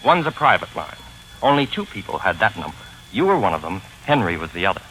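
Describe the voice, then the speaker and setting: An actor playing a wry, pompous gentleman sleuth in a 1940s radio drama, with formal, genteel diction. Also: low-pitched, very animated, quick pace, exaggerated voice, low-quality recording. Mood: smug. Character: wry, pompous gentleman sleuth narrating his own caper. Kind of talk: 1940s radio drama acting, first-person narration. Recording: 1940s radio recording with music behind.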